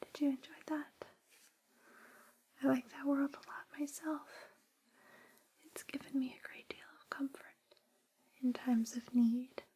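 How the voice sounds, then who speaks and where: A woman whispering breathily and slowly in a soothing, reassuring ASMR guided relaxation roleplay. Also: sits high, fairly even intonation, dark tone, poor audio.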